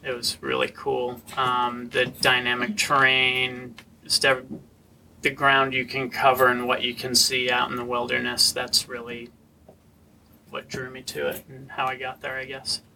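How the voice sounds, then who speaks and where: A man from Vermont telling how he started trail running, in a laid-back, halting way. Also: medium pitch, monotone, gravelly, bright, forceful tone, recorded from a distance, a little hiss or hum, poor audio. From Vermont, USA. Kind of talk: casual personal storytelling.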